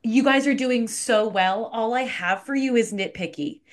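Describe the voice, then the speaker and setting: An expressive woman with a somewhat high voice, chatting casually about performing and teaching dancers. She speaks in an encouraging way. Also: normal speed. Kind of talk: casual chat.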